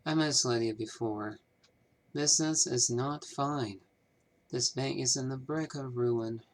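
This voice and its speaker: Man acting slow-talking, evasive bank manager. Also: mid-range voice, natural voice. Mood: confiding, troubled. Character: evasive, troubled male small-town bank manager. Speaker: amateur voice actor. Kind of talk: scripted game character dialogue, fan performance.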